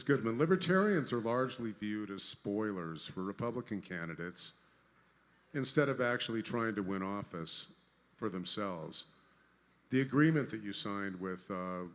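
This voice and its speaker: A man moderating a political debate, asking questions in a measured way with hesitations. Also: fairly low pitch, fairly bright tone.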